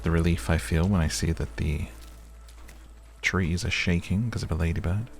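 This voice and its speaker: A man giving relaxed ASMR gameplay commentary, musing gently and whimsically to the listener. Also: deep voice, moderate pace, creaky, faint music behind. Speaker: gaming video host.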